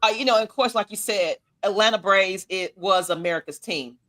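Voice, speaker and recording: Bright-voiced chatty woman. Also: normal speed. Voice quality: low-toned voice. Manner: laughs often, loose and chatty. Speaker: podcast co-host. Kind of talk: casual fan chat.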